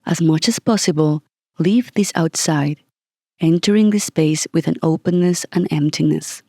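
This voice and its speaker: Mellow, soothing meditation guide, very dry sound. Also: medium pitch, dry recording. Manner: calm, soothing, gentle delivery with long pauses.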